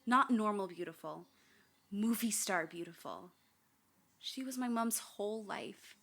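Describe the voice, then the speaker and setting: A woman's voice: medium pitch, gravelly, a bit bright. A young woman playing a candid, wry daughter haunted by family tragedy, narrating a radio drama. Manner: reflective, wry. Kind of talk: first-person scripted narration.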